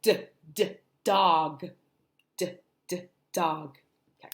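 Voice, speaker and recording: A middle-aged woman from New Jersey with a softened Jersey accent, teaching phonics in a livestream and sounding out letters while checking in with "okay?". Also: lowish voice, slow pace. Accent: New Jersey, softened toward standard American. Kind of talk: livestream phonics lesson for parents.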